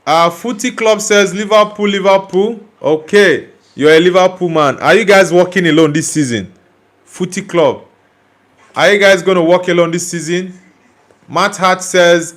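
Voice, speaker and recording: A man's voice: high-pitched, a bit bright. Nigerian man hosting a football live chat. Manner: reads out viewer comments and answers them directly, teasing and blunt. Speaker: livestream host reading chat.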